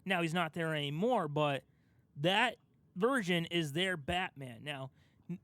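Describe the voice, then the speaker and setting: Male podcast host, young adult, higher voice, emphatic explainer in casual discussion, close dry sound. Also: expressive, measured pace, fairly bright tone, no echo. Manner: explanatory, emphatic, talks through an argument step by step. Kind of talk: casual pop culture discussion.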